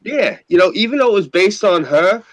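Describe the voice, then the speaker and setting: A man in his late thirties with a deep voice, ranting casually and profanely with frequent laughter. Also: lively intonation, a little fast, no echo, poor audio. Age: late 30s. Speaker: podcast co-host. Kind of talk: casual chat.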